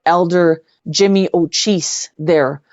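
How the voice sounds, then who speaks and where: A woman's voice: on the low side, fairly even intonation, slow pace, darkish tone, dry recording, lo-fi sound. A woman chatting casually as an interview guest, effusive and repeating words for emphasis. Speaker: interview guest, singer-songwriter and actress.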